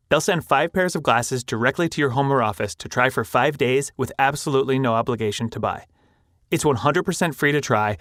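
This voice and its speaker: A man's voice: mid-range voice, a bit bright, dry recording, clean studio sound. A man reading a scripted podcast sponsor message, addressing listeners directly in a friendly style.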